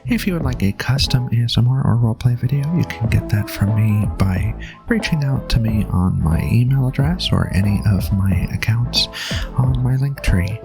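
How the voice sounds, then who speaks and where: A woman, an ASMR creator, giving a soft-spoken channel announcement before a video. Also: rumbling low, normal speed, over music.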